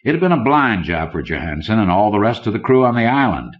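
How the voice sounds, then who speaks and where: A man's voice: on the low side, expressive, fast. A man reading scripted fiction as an audiobook narrator. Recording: dull lo-fi old cassette recording.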